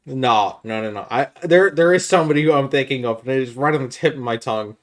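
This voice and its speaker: A man's voice: medium pitch, quick pace. Informal, slangy man in casual podcast chat. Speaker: podcast host.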